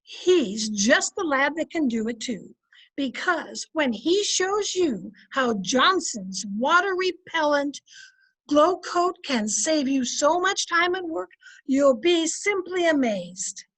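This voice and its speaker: Woman playing a high-voiced, wry, chatty housewife. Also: animated, slow, fairly bright tone, exaggerated voice, low-quality recording. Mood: chatty, persuasive. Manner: quipping, persuasive. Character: wry, chatty housewife, wife of census taker. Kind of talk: old-time radio comedy acting.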